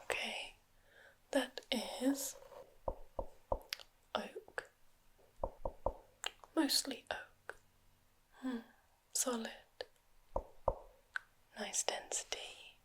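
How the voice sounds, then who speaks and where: A young woman whispering as a sly, matter-of-fact forest witch in an ASMR roleplay, close to the microphone, with soft personal attention and dry wit. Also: a little high, fairly even intonation, very slow, long pauses. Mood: teasing.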